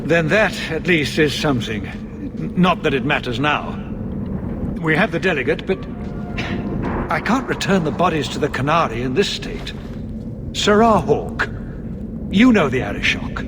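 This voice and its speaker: An anguished official of a fantasy city, formal and overwhelmed. His voice sits fairly high and swings widely in pitch. There is clear noise behind him. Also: expressive, measured pace, long pauses. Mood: troubled. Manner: formal, agitated. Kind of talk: dramatic video game acting.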